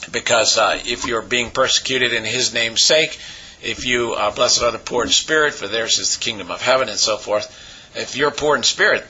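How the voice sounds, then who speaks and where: A man with a raspy voice, hoarse from a cold, preaching a sermon as pastor with many pauses and fillers. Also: mid-range voice, bright, forceful tone, lo-fi sound.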